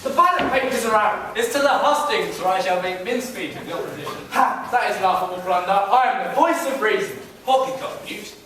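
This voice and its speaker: A man's voice: high voice, fairly quick, pushed and bright. A man playing a pompous, scheming candidate in a stage pantomime, with grandiose declamation. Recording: noisy echoing hall, distant mic.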